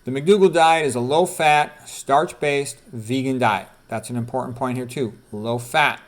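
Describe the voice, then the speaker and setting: A man's voice: mid-range voice, unhurried. A middle-aged or older man, a doctor, reading quotes aloud with informal commentary and repeating key phrases for emphasis. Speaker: doctor presenting quotes from a book.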